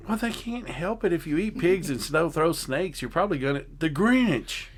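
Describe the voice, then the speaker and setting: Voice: mid-range voice, expressive, normal speed. A host in casual comedic banter, teasing and often interjecting.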